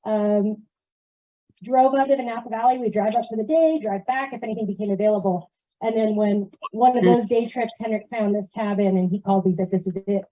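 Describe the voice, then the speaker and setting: A woman's voice: mid-range voice, fairly even intonation, a little fast, mellow, dry recording, rough recording. A middle-aged woman giving a casual, conversational introduction of herself to a group. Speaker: new cabin owner introducing herself.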